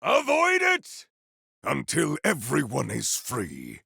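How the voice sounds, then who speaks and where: Gravelly, bombastic warrior zealot. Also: male voice, mid-range voice, huge pitch swings, slow pace, no room sound, studio quality, full character voice. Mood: solemn, musing. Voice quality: gravelly, forceful. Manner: theatrical, archaic, declamatory battle cries. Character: bombastic, righteous warrior on a holy quest, zealous and grandiose. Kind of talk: video game combat voice lines.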